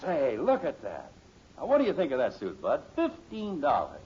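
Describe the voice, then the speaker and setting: Smug, lecturing sitcom dad, animated. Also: male voice, medium pitch, moderate pace, husky, heavily acted. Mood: proud, showing off. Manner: patient, pompous, sermonizing. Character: sensible, lecturing middle-aged father, smugly sure of himself. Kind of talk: 1950s radio sitcom acting. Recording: muffled, hissy old radio recording.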